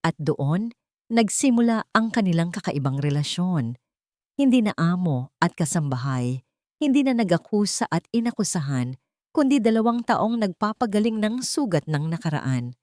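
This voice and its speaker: Low-voiced woman narrating a story. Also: very animated, normal speed, darkish tone, dry recording, clean studio sound. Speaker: audiobook narrator. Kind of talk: scripted dramatic story narration.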